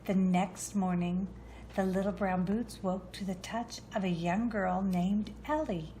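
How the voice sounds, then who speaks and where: Slow-paced woman reading a children's story. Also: mid-range voice, fairly even intonation, mellow, slight background noise. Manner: slow, deliberate narration. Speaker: storybook reader. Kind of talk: children's story read-aloud.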